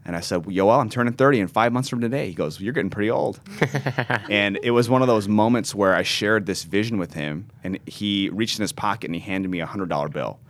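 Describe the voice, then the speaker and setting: A man's voice: on the low side, a little fast. A man in his thirties, a pastor, telling his story earnestly in an interview and often restarting his words. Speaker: pastor and ministry founder.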